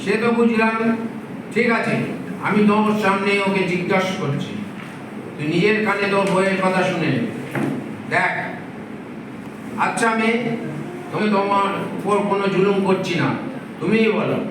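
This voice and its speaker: A man's voice: high voice, moderate pace, long pauses, noisy background, lightly acted. A man acting a stern village police officer questioning a suspect in an audio play. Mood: interrogating. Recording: echoey, distant, loud music underneath.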